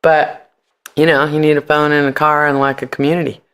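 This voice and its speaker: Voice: medium pitch, level tone, fairly quick, a bit bright, clear, resonant tone. A man being interviewed on a podcast, chatting casually and animatedly in loose slang with free swearing. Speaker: podcast guest.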